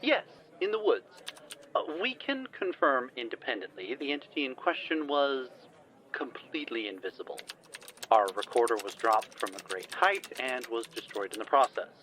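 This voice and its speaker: A bluffing explorer in an audio drama, with a mid-pitched, forward, very lively voice. He puts on formal courtesy and smooth talk, then trips over his own words. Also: moderate pace, slight background noise, low-quality recording. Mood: flustered bluffing. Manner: affectedly formal, stumbling when bluffing. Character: smooth-talking, bluffing male exploration team member.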